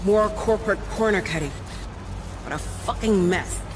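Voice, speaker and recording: An actress playing a gruff, practical, overworked chief engineer in video game dialogue, blunt and plain-spoken. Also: female voice, medium pitch, moderate pace, close to an everyday voice. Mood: weary, determined. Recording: clear ship ambience noise behind.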